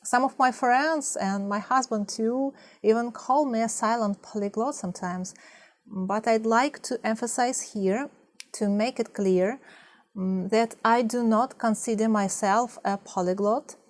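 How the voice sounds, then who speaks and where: Soft-spoken Russian-accented woman vlogger. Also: a little high, measured pace. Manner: soft-spoken, hesitant, many fillers. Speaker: language-learning vlogger. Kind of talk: partly scripted talk to camera.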